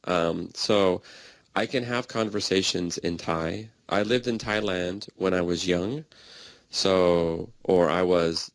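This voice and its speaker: A man speaking in plain, simple sentences in a slow conversation practice recording. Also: low voice, steady tone, dry recording. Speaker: English learner in a practice dialogue.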